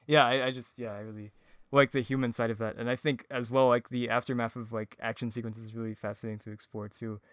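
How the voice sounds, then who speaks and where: A man with a medium-pitched voice chats informally on a podcast. He feels his way through sentences, hesitant and rambling, padding them with fillers. The sound is dry and close. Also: flat delivery, quick pace, no room sound. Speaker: audio drama creator, podcast speaker. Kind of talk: casual podcast chat.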